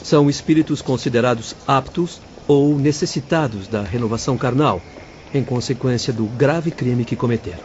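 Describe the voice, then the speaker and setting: A man's voice: mid-range voice, moderate pace, darkish tone, slight background noise, lightly acted. A man playing an earnest, polite doctor guiding spirits in an audio drama. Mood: courteous. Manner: polite, explanatory. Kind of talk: dramatised audio-book dialogue. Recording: dull lo-fi audio, faint music. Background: faint background music.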